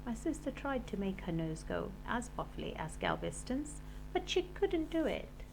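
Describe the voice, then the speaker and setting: A woman narrates a children's story like a teacher reading to a class. Her delivery is dramatic and animated, with lively swings in pitch. There is faint noise in the recording. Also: moderate pace, gravelly, rough recording.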